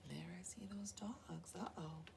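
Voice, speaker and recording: A woman teacher reading a picture book to young children in an expressive way, often prompting them with questions. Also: lowish voice, measured pace, creaky, far from the mic, a little hiss or hum. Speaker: preschool teacher.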